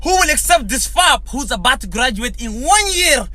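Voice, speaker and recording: A man telling a comic story to the camera in a loud, animated way. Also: very high voice, normal speed, no echo.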